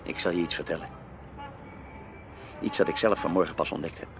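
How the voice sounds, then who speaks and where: A man's voice: deep voice, fairly quick, over music. An actor playing a self-assured, probing man in a radio play, dry and pointed in his questions. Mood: serious, pressing. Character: Tim Valentine, probing, self-assured male acquaintance. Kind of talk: Dutch radio play acting. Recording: noisy old radio play recording.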